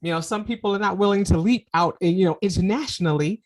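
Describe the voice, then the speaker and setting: A woman's voice: medium pitch, fast. A middle-aged woman hosting a podcast interview, talking casually and affirming her guest, often repeating words and saying "you know". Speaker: podcast host.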